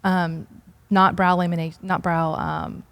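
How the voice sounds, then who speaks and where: A woman in her thirties talks as a guest in an easy, chatty way, dropping in "like" and "um" often. Her voice is fairly low for a woman. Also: flat delivery, a little slow, a bit bright. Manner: chatty, fills with "like" and "um". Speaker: salon owner, guest. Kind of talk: casual interview chat.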